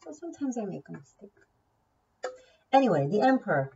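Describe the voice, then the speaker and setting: A woman's voice: medium pitch, sing-song, brisk, creaky, darkish tone, slightly rough, recorded from a distance, highs cut off. A woman whose first language is Hebrew, giving a casual tarot reading, chatty and thinking aloud, often repeating key words. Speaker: tarot reader.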